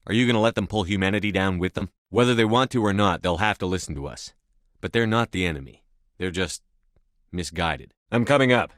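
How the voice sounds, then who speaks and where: An actor playing a resolute sci-fi military commander in a video game, with calm authority and measured, firm, clipped delivery. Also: male voice, low-pitched, no room sound, studio quality. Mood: firm, commanding. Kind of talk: scripted video game dialogue.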